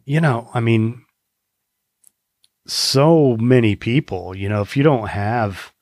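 A man's voice: medium pitch, slow pace, a little mellow, dry recording, studio quality. An American man talking earnestly in a casual conversation, rambling a little with restarts. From United States.

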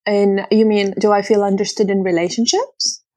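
A woman whose first language is Arabic, speaking earnestly as an interview guest, sometimes pausing to search for words. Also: mid-range voice, little pitch movement, darkish tone, dry recording. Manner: earnest, searching for words, laughs between thoughts. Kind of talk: conversational interview.

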